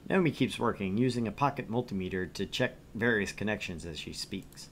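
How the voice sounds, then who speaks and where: A man's voice: on the low side, brisk, fairly bright tone, a little hiss or hum. A man streaming a visual novel, reading its narration aloud and chatting casually about it. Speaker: streamer and reader.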